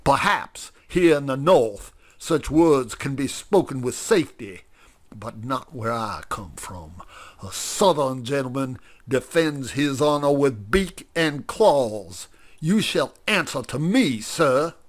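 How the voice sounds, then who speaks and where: A man's voice: medium pitch, lively intonation, slow, pitched above the performer's own voice. A man voicing a stiff, self-important male woodpecker politician who speaks formally and pompously. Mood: furious.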